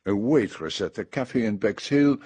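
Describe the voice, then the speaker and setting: A fussy, shrewd older Belgian detective for radio drama. His French-accented voice is low and slightly husky, recorded close and dry. He sets out each point with deliberate, theatrical precision. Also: animated, a little mellow, no room sound. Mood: firm, accusing. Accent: French-speaking Belgian.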